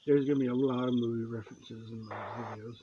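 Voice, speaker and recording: A man's voice: mid-range voice, fairly even intonation, quick pace. A man hosting a reaction video with casual, off-the-cuff commentary and jokey asides. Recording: slightly dull sound, faint noise.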